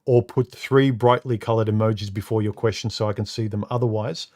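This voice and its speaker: A man with a deep, slightly muffled voice hosts a live stream from home. He speaks casually and haltingly, often repeating a word before he finds his sentence. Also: fairly even intonation, darkish tone. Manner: halting, repeats words, many uh and um fillers. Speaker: live stream host reading comments. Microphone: studio microphone.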